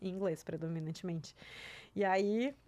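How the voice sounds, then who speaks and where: A woman's voice: on the low side, moderate pace, darkish tone. Woman, 30s, German native speaker, interview guest, reflective, casual. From southern Brazil. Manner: reflective, tags sentences with "né?", light laughs. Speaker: interview guest, career mentor.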